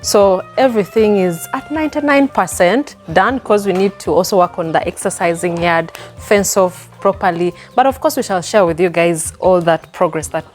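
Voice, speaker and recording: A woman's voice: on the low side, normal speed, hardly any pauses, faint music behind. A woman co-hosting a vlog in a casual way, readily agreeing and echoing what is said. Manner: agreeable, echoes and affirms her partner. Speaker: co-host.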